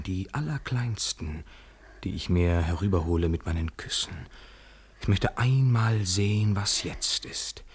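An actor playing a tender, yearning lover from abroad in a poetic radio play, intimate and lyrical, at times nearly whispering. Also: male voice, low voice, husky, full character voice.

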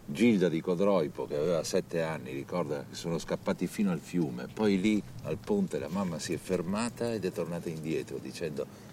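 A man reading scripted historical narration for a documentary film in a measured storytelling manner. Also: sits low, animated, a little mellow, slight background noise.

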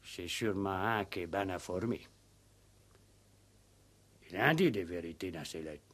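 An actor playing a simple, stubborn village farmhand in a radio play, defensive and blunt, with hesitant, ungrammatical country speech. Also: male voice, low voice, a little slow, fairly bright tone. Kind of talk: 1960s French radio play acting.

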